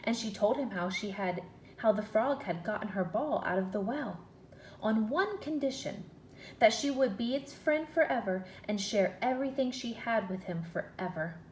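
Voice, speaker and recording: A woman teacher reading a children's story aloud in an expressive, deliberate, questioning way. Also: mid-range voice. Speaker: first-grade teacher. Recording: echoey room with faint noise.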